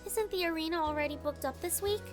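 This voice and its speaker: An actress playing a thoughtful, gentle teenage schoolgirl in a visual novel. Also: very high voice, fast talker, music in the background.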